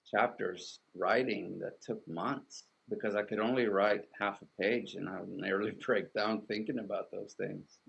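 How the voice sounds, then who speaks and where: A middle-aged man from Sweden, whose first language is Swedish, speaking as an artist and author in an interview, thoughtful and halting with many restarts. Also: lowish voice, vocal fry, breathy edge, rough recording. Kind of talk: reflective interview conversation.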